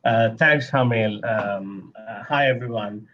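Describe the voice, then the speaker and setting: A Sri Lankan man speaking as a panelist, earnest and measured, with some non-standard grammar. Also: on the low side, highs cut off. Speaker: peacebuilder, panelist. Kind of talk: panel discussion.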